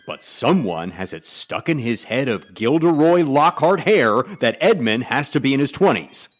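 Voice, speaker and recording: Older male agent: sardonic, wordy, wounded pride, lively swings, comedy audio drama. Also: mid-range voice, moderate pace. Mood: wounded sarcasm. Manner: dry, wry, wordy complaining. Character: older male agent, sardonic, theatrical, touchy about age.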